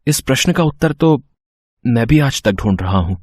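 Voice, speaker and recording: A man's voice: medium pitch, expressive, moderate pace, a little mellow, no echo, clean studio sound, close to an everyday voice. A man playing a humble, polite young delivery man in a moral story cartoon, earnest and a little hesitant. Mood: sad. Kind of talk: animated Hindi moral story acting.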